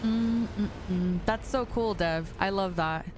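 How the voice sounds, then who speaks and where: A woman's voice: medium pitch, takes it slowly. A woman in her late twenties chatting casually with her viewers while she plays a game, reading their messages aloud and answering them. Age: 20s. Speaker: streamer. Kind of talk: casual live-stream chat while gaming. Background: background music.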